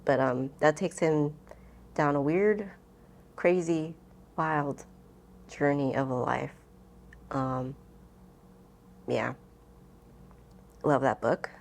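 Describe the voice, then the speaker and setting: Casting: woman with a lowish voice for casual book vlogging; loose, rambling, self-interrupting delivery. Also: very slow, creaky, long pauses, darkish tone. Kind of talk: casual chat to camera, book tag.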